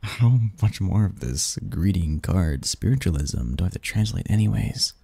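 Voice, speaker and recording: A man's voice: deep voice, animated, vocal fry, slightly rough, no echo, exaggerated voice. A man acting a snarky, cynical young research assistant in a scripted ASMR roleplay, with a wry, pedantic manner. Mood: absorbed, muttering. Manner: wry, pedantic, halting mumbles and soft chuckles.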